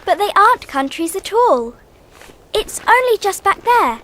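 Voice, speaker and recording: A woman's voice: very high voice, sing-song, unhurried, sharp tone, slight background noise, full character voice. A woman playing a polite, innocent, curious young English girl in a children's radio drama, earnest and well-mannered.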